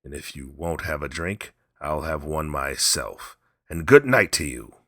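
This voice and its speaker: A man voicing a drunken, menacing, greedy old man in a storybook, gruff, blunt and defiant. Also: very deep voice, expressive, measured pace, bright, forceful tone, no echo, clean studio sound, full character voice. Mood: defiant, loud.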